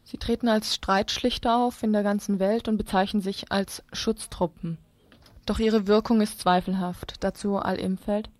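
A woman's voice: mid-range voice, fairly even intonation, normal speed, no echo. Woman doing scripted radio feature narration, formal, read out, really dry sound with no room. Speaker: radio presenter.

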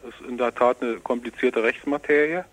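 Man, mid pitch, dry close sound, formal legalistic phrasing, hesitant, interview explanation. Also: steady tone, a little fast, no echo, poor audio. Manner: formal, legalistic wording, hesitant with frequent ähs. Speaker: newspaper representative being interviewed.

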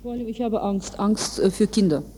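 A woman with a very dark, soft-edged voice works as an interview interpreter. Her pitch is average, and she builds her sentences haltingly. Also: a little hiss or hum. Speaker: consecutive interpreter between Russian and German.

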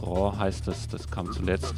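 A man's voice: sits low, flat delivery, measured pace, vocal fry. A man presenting local radio, announcing events and music in a casual, hesitant, improvised way. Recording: music playing under the voice.

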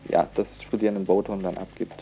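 A young man speaking as a student activist guest in an informal radio interview, halting and searching for words. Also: sits low, level tone, warm tone, a little hiss or hum, poor audio. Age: young adult.

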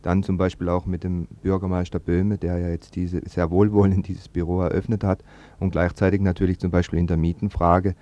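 A man's voice: low-pitched, little pitch movement, brisk, warm tone, dry recording, lo-fi sound. A man presenting on radio with spontaneous commentary, often rephrasing as he goes. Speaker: radio host.

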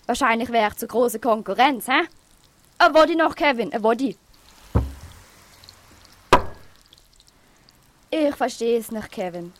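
A wannabe gangster rapper played by a woman talks in pushy Swabian slang, brash but wounded. The voice is on the high side for a radio play, with slight background noise. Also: lively intonation, moderate pace, close to an everyday voice. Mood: boastful, frustrated, then hurt, indignant. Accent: Swabian German. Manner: slangy, pushy, punctuates talk with "hä".

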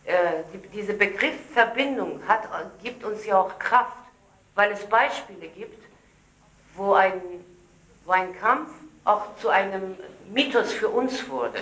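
A woman's voice: medium pitch, animated, takes it slowly, a bit bright. A woman speaking publicly in a political address at a gathering, recorded live in a room. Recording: roomy, echoing event recording.

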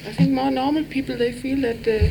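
A fairly high-pitched woman answers an informal interview. Her delivery is very hesitant, with simple phrases broken by restarts. Noticeable ambient noise surrounds her on the location recording. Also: fairly even intonation, brisk, vocal fry. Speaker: interviewee, peace marcher. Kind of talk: informal spoken interview in English.

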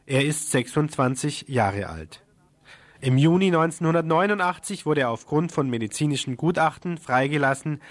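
Higher-voiced man reading radio news. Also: no echo.

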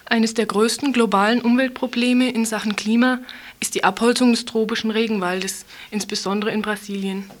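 A woman's voice: on the high side, steady tone, moderate pace, slight background noise. A woman radio reporter narrating a scripted news report.

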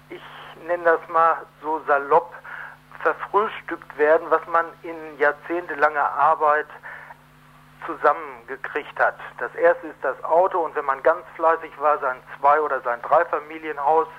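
Over a dull phone connection, a man gives a live radio interview. His voice sits fairly high, and he speaks emphatically but haltingly, often rephrasing as he goes. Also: steady tone. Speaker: protest co-organiser, interview guest. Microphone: telephone. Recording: muffled telephone line on radio.

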